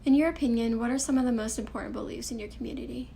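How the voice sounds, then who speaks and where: Quick, gravelly young woman interviewer. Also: fairly high pitch, warm tone, a little hiss or hum. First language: Cantonese. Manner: scripted, polite questioning. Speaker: interviewer, student presenter. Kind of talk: scripted class presentation.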